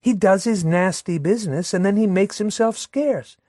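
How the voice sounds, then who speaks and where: A man's voice: high voice, moderate pace, warm tone, no echo, studio quality, voice pushed up. Man putting on a cooing, catty young woman. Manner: sweet, wheedling and catty. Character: coy jealous young woman, cooing to her lover.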